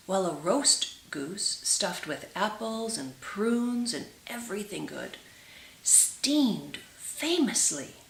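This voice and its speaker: A woman reading a story aloud in an expressive storybook style, with dramatic, deliberate phrasing and drawn-out words. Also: medium pitch. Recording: roomy, echoing sound.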